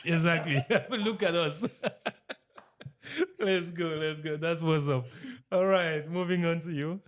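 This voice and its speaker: A man's voice: fairly high pitch, slow pace. A man from Africa hosting a casual talk show, upbeat and full of short repeated affirmations. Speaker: host. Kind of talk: casual chat.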